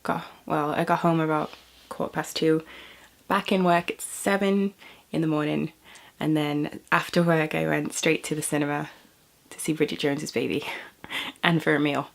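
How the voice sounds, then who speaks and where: A young British woman chats to camera in a low, slightly gravelly voice. She sounds a bit stuffy and sniffly from a cold, rambling from one thing to the next with frequent little laughs. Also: fairly even intonation, normal speed. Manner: chatty, rambling, laughs often. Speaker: vlogger. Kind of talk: casual chat to camera.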